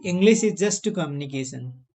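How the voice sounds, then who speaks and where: A man's voice: fairly high pitch, lively intonation, a little fast, mellow. A young man from Nepal, hosting a casual video chat in simple, broken English with emphatic bursts. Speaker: channel host.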